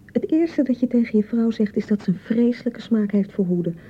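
An actress playing an insecure young newlywed wife in a radio drama, nagging and reproaching in repeated, sarcastic phrases. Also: female voice, a little high, expressive, brisk, highs cut off, slight background noise, close to an everyday voice. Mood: sarcastic, jealous. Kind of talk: naturalistic radio drama acting.

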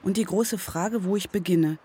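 A woman's voice: mid-range voice, normal speed, mellow, slightly rough. A woman reading scripted, diary-like narration as the author of a documentary radio play. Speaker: author narrating her own experiment. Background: acoustic guitar music.

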